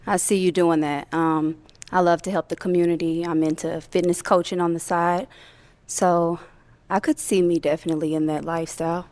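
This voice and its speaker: A woman's voice: lowish voice, little pitch movement, normal speed, a little mellow. A woman on a dating show who answers in an upbeat, friendly way. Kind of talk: casual chat.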